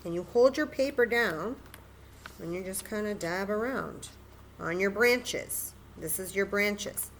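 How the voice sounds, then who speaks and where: Woman, medium pitch, unhurried pace, children's craft teacher, encouraging, simple step-by-step talk, slightly noisy recording. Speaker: children's library story time host and craft teacher. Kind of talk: casual step-by-step craft demonstration for young children.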